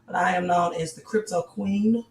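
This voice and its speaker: Hoarse raspy woman, casual livestream host, roomy distant mic with faint music under it. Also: lowish voice, steady tone, moderate pace, slight background noise. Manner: greets viewers, urges likes and subscribes.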